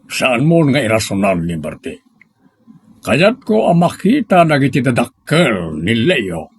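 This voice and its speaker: A man's voice: mid-range voice, wildly swooping pitch, measured pace, breathy edge, exaggerated voice. A man playing a stern, guarded middle-aged father of a bride in an audio drama. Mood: stern, doubtful. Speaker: drama actor. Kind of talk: Ilocano audio drama acting.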